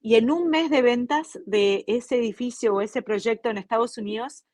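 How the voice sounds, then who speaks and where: A woman's voice: on the high side, moderate pace, a little mellow, dry recording, studio quality. A woman from Argentina with an Argentine Spanish accent, a company co-founder explaining her business in a fluent, businesslike way during an online interview. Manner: fluent, businesslike storytelling with brief fillers.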